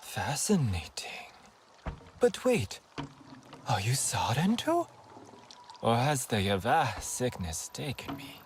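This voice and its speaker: A nervous, curious alien scholar in a video game. His delivery is hesitant and swings widely in pitch, as if thinking aloud. There is faint noise behind him. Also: big pitch swings, slow pace, long pauses. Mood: awed, curious.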